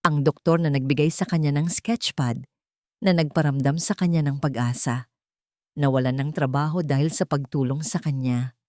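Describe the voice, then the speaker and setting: Isang babaeng nagsasalaysay ng madramang kuwento mula sa nakasulat na iskrip. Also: female voice, deep voice, fairly even intonation, normal speed, darkish tone, no echo, studio quality. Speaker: story narrator. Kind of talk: scripted dramatic story narration.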